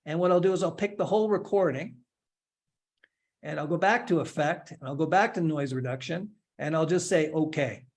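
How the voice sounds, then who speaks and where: A man in his forties giving a relaxed, step-by-step software tutorial with light humor. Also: fairly high pitch, moderate pace. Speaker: instructor giving a software demo. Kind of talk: screen-share tutorial, casual explanation.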